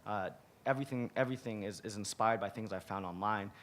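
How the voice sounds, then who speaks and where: Hoarse, raspy man at a panel. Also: on the low side, fast, a bit bright. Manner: casual, many restarts and repeated words. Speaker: museum curator, panelist. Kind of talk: conference panel talk. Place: large conference hall. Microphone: table mic on a stand.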